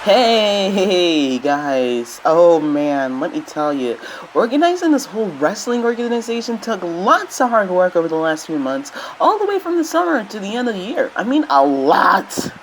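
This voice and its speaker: Woman as a flustered, animated cartoon coconut boss. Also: mid-range voice, normal speed, a little hiss or hum, natural voice, poor audio. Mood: casual, rambling. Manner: rambling, exasperated. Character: chatty, easily flustered male coconut wrestling boss. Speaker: wrestling CEO. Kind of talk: casual cartoon ceremony speech.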